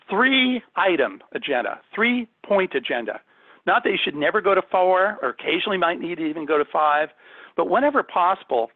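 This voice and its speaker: Male corporate trainer, higher-than-average register, emphatic and conversational, heard through a tinny call connection. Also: dry recording. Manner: emphatic, instructive, addresses listeners with questions. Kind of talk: conversational presentation in an online workshop. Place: indoors, on a video call. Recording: thin phone-like audio, dry.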